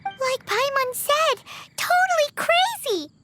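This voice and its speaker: A woman voicing a tiny, childlike floating fairy in an anime-style video game, excitable and blunt, with a squeaky voice. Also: takes it slowly, full character voice. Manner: refers to self in third person, exclamatory. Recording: loud background music. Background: game music.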